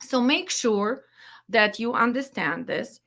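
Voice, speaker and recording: Ukrainian-accented woman, dispatch trainer; firm, direct, unhurried delivery. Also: on the high side, animated, gravelly, a bit bright. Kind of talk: promotional webinar announcement.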